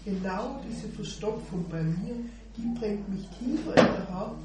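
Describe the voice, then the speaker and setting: A woman's voice: mid-range voice, measured pace. A woman sharing at a church meeting, hesitant, with many fillers. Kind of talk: informal devotional sharing. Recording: echoey room mic from far off with background noise.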